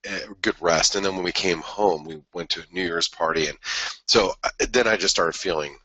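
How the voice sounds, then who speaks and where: Stuffy, raspy low-voiced man. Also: normal speed, pushed and bright, no echo, rough recording. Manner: rambling, many restarts and fillers. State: stuffy nose from a head cold. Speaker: host of a trading webinar. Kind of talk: casual market analysis talk.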